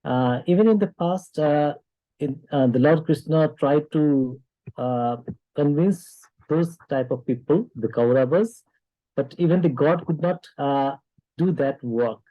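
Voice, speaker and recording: A man from Nepal with a Nepali accent, speaking off the cuff in a discussion in a halting, hesitant way with frequent pauses. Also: on the high side, dry recording, narrow, tinny audio. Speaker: discussion participant. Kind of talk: unscripted discussion remarks.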